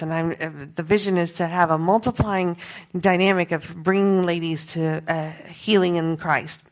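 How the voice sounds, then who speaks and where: A middle-aged woman with an American accent gives an informal talk to a group as a missionary, conversational and halting, often correcting herself. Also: fairly low pitch. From grew up in Peru as an American missionary kid. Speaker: missionary speaker giving a presentation.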